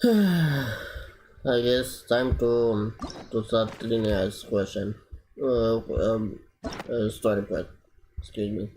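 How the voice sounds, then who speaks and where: A man streaming a video game with casual, halting, rambling commentary. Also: lowish voice, darkish tone, low-quality recording. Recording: faint room noise and clicking.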